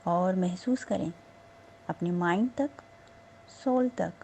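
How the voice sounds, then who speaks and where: A woman meditation instructor leading a slow guided relaxation in a soothing, unhurried, gently instructing manner with pauses. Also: on the low side, a little hiss or hum.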